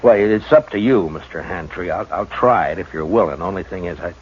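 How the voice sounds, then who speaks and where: A man playing a terse, deep-voiced frontier marshal. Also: fairly quick, natural voice. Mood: steady. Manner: low-key, terse, dry. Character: steady, weary frontier marshal, grown man. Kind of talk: 1950s radio drama acting with first-person narration. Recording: dull 1950s radio broadcast, faint hiss.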